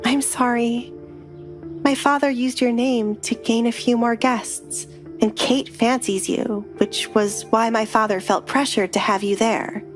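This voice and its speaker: A woman's voice: fairly high pitch, fairly even intonation, a little slow, soft background music, pitched above the performer's own voice, natural voice. A woman voicing a guarded young noblewoman in an audio romance, speaking in a polite, formal and earnest way. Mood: apologetic. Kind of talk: dramatized audio romance dialogue.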